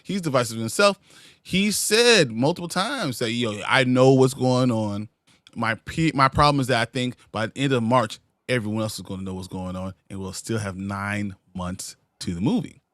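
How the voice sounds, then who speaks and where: A man co-hosting a casual chat show, ranting with animated, heated energy. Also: medium pitch, normal speed, dry recording, clean studio sound.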